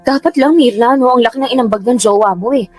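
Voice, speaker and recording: An actress playing a guarded, sensitive young Filipino woman in a radio drama, emphatic and quick to scold. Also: on the high side, darkish tone, no echo, close to an everyday voice. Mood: friendly, cautioning. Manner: emphatic, nagging. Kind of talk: Tagalog radio drama acting. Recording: music bed under dialogue.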